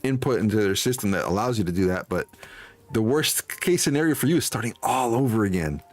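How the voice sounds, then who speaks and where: A man's voice: on the low side, animated, a little fast. A man livestreaming a game, chatting casually with rambling, teasing commentary. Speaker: game streamer. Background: game music.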